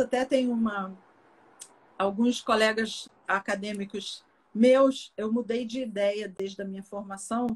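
A woman from Brazil, a teacher and interview guest, explaining her views thoughtfully in a casual live video chat. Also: mid-range voice. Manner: thoughtful, explanatory, warm farewells. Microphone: webcam, video call.

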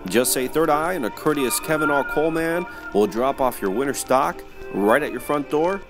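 An actor playing a theatrical, peppy announcer on an old-time mystery radio show. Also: male voice, a little high, animated, moderate pace, music in the background. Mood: showy, ominous.

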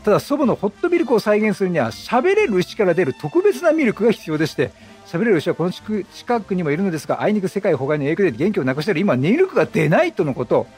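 A man's voice: high-pitched, sing-song, quick pace, few pauses, a little mellow, soft background music. A man voicing an earnest, troubled traveling priest from a video game in polite, solemn phrases.

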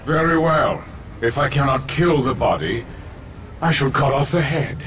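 An actor playing a grandiose, cruel demon lord who declaims pompously like a theatrical game villain. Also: male voice, mid-range voice, unhurried, distant mic, very noisy, lightly acted. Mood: menacing.

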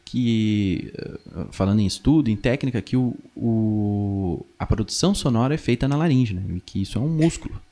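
A man's voice: lowish voice, moderate pace, mellow. A young man with a southern Brazilian accent explaining things in casual conversation as a podcast guest, tagging his points with little check-in questions, close on a studio microphone. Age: young adult. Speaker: podcast guest, singing teacher. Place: small indoor podcast studio. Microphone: close podcast microphone on boom arm.

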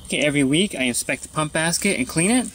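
A man's voice: a little high, lively intonation, fast talker, over music. A pool service technician talking through his weekly routine in a plain, matter-of-fact instructional voice-over.

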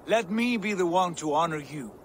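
An actor playing a hearty, boisterous Viking prince in a video game cutscene, laughing often. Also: male voice, sits high, lively intonation, moderate pace, a little hiss or hum. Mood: determined.

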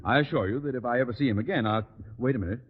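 A man playing a flustered, blustering middle-aged high school principal in 1940s radio sitcom style, pompous and theatrical. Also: racing, husky, narrow, tinny audio, close to an everyday voice. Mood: agitated. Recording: old crackly radio broadcast, echoey, audience.

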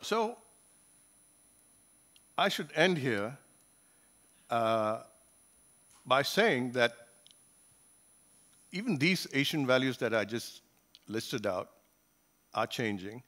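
An older man gives a panel talk at a conference table, speaking into a gooseneck microphone. His delivery is measured and thoughtful, often pausing on fillers and restarting his phrases. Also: medium pitch, expressive, a bit bright. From Singapore. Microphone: table gooseneck mic.

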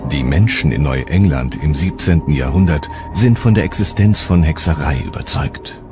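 A man narrating a historical documentary from a script in a measured, serious way. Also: very deep voice, lively intonation. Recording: music underneath.

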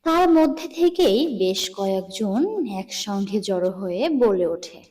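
A woman reading scripted cartoon story narration in a dramatic, deliberate storytelling manner. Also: mid-range voice, very animated, dark tone.

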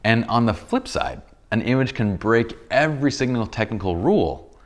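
A man in his thirties with a deep, slightly tired voice, explaining photography basics in a casual studio tutorial. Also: expressive, normal speed. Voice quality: deep voice, a bit tired from a long day. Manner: enthusiastic, explains with many examples and restarts. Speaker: photography instructor. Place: bright indoor studio set with a sofa.

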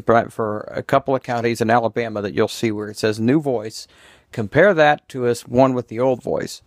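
A man's voice: mid-range voice, no echo. Man explaining informally, dry close sound. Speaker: video creator. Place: small room at home.

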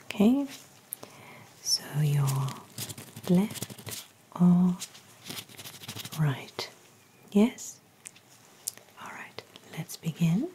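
A woman in her thirties whispering slow, gentle instructions as she plays an examiner in an ASMR roleplay, recorded close to the mic in a small room indoors. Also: fairly low pitch, animated, slight background noise, low-quality recording. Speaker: ASMR artist playing an ear examiner. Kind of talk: ASMR medical exam roleplay. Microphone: close-up microphone.

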